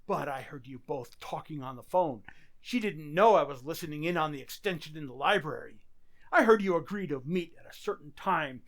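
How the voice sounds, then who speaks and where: A high-voiced, unhinged husband out for revenge, acted by a man in a radio play. His delivery swings widely as he taunts and accuses with murderous glee. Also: expressive, a little fast, a bit bright. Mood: accusing. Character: unhinged vengeful husband, menacing, gleefully murderous madman. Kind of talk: radio drama acting.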